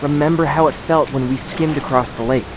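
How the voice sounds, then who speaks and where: A man playing an earnest mage who patiently coaches a pupil in an audio drama, speaking in a measured, instructive way. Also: a little high, steady tone, lightly acted. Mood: patient coaching. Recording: noisy, with water sound effects behind. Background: river water ambience.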